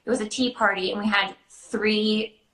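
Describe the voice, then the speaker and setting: An American woman hosting a casual online chat, telling stories and answering questions in an animated way. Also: medium pitch, moderate pace. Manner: animated storytelling, acting out quoted dialogue. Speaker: live show host and character party performer. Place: indoor room, online video chat. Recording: roomy echo.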